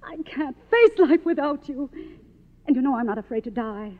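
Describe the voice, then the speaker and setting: An actress playing an imperious, desperate, deluded film star of fifty in 1950s radio drama style. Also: female voice, high-pitched, lively intonation, normal speed, narrow, tinny audio. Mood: desperate pleading. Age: 50s. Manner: melodramatic, grandiose. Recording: thin old radio recording, echoey hall.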